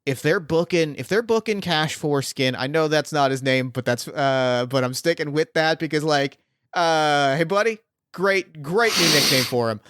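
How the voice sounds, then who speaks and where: Man doing a slick bomb dealer, salesy patter, placating, clear fairly high voice, dry sound. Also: moderate pace, fairly bright tone, no room sound, lightly acted. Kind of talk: improvised tabletop roleplay.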